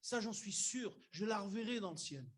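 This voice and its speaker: Older male pastor, high voice, conversational sermon delivery, bursts of emphasis. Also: expressive, normal speed. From Algeria. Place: church.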